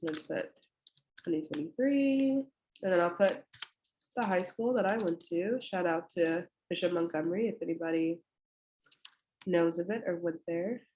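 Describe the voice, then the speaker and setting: A woman's voice: lowish voice, normal speed, pauses often, darkish tone, reverberant, slight background noise, low-quality recording. A young woman, an admissions representative with a stuffy, congested nose, casually walks viewers through an online application with lots of fillers. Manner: casual and friendly, many fillers. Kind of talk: walking through an online application on a screen share. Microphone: computer mic on a video call.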